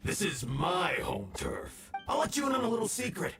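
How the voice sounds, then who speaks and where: A man's voice: high voice, big pitch swings, normal speed. A man playing a smug, scheming villain in a video game, with a taunting, theatrical delivery. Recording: echoey, distant, music behind.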